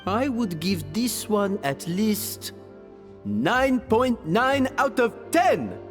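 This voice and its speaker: High-voiced, theatrical male contest judge. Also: sing-song, big-room sound, faint music behind, full character voice. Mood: delighted, showy. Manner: theatrical, drawn-out, declarative. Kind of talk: video game voice acting.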